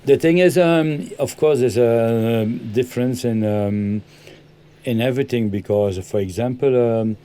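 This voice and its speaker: A man from Belgium, a football coach, answering interview questions in halting full of ums and loose grammar. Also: medium pitch, mellow, clear, resonant tone.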